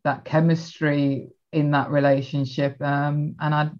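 A woman's voice: low-pitched, flat delivery, a little slow, darkish tone, dull audio, slight background noise. A woman hosting a podcast conversation, agreeable and hesitant, with many fillers.

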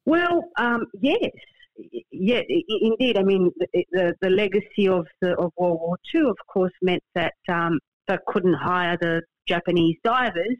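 An Australian woman in her fifties, a barrister being interviewed, speaking in a measured, halting way with many fillers and repeated words. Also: lowish voice, lively intonation, dry recording, narrow, tinny audio. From Darwin, Northern Australia. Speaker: barrister and guest. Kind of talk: podcast interview. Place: remote, from her hometown.

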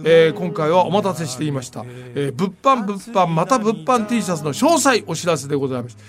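年配の男性が、ラジオ番組の司会として相方とくだけた口調でざっくばらんに話している。. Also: male voice, fairly high pitch, sing-song, a little fast. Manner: blunt, colloquial speech with frequent backchannels. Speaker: podcast host. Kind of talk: casual radio-style chat with a co-host.

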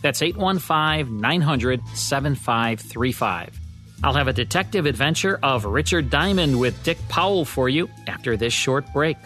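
A man's voice: medium pitch, animated, normal speed, pushed and bright, faint music behind. A man hosting a classic radio podcast, reading scripted back-announcements and membership promotion. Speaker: podcast host.

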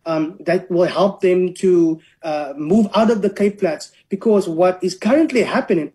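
A linguist, explaining language policy in a measured, academic way during an interview. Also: medium pitch, mellow.

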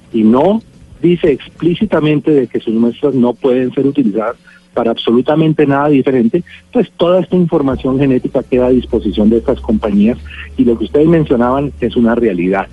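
A man's voice: medium pitch, husky, dry recording. A man with a Colombian accent explaining as an expert guest in a radio interview, emphatic and given to everyday examples. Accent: Colombian Spanish. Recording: narrow phone-like line, music.

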